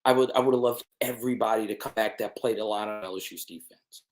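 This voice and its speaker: A man's voice: fairly low pitch, steady tone, fast talker. Emphatic man hosting a sports live stream. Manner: emphatic, repeats "okay?" to check in with viewers. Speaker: sports channel host. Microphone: desk mic with changed settings.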